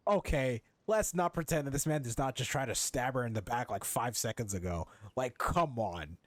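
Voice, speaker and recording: A man's voice: medium pitch, lively intonation, normal speed, no room sound. Blunt, sarcastic male gamer. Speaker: gamer reacting to a story quest. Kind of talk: casual playthrough commentary. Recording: game music underneath.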